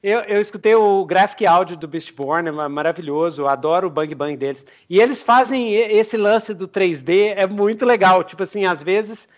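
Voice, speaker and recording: A man hosting a casual podcast interview, talking in an informal, slangy and expressive way. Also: high-pitched, normal speed, few pauses. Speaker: podcast host interviewing guests.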